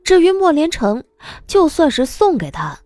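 A woman giving expressive scripted narration as an audiobook narrator. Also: high voice, normal speed, dry recording, faint music behind.

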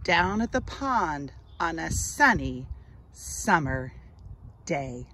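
A woman hosting an outdoor read-aloud for children, speaking to them with deliberate, expressive enunciation. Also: low-pitched. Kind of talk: children's story read-aloud and friendly outdoor talk to kids. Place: outdoors on a grassy trail. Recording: outdoor ambient noise.

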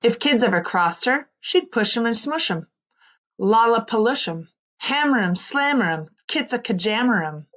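A woman in her thirties reading a children's story aloud like a teacher, expressively, with dramatic drawn-out emphasis on key words. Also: fairly high pitch, expressive, fairly bright tone. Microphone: computer mic on a screen-share video recording.

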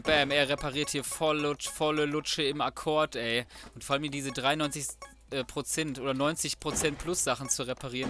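Male gaming host, bright higher-pitched voice, casual slangy muttering, music behind. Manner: colloquial, mutters to himself, mild swearing. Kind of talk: casual let's play commentary.

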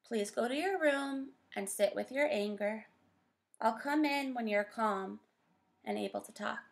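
A woman voicing a kindly grandfather in a storybook, speaking gently and firmly. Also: on the high side, a little slow, a little hiss or hum. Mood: calm.

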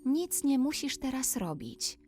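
A woman narrating a scripted bedtime story in a gentle, soothing manner, as if guiding a child toward sleep. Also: sits high, big pitch swings, a little slow. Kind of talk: slow scripted bedtime story with guided relaxation. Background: background music.

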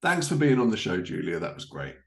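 Male host, 30s, fairly low pitch, informal interviewer on a video call. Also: sing-song, brisk, gravelly, echoey room. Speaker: podcast host. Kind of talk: casual interview hosting. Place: living room, indoors, on a video call.

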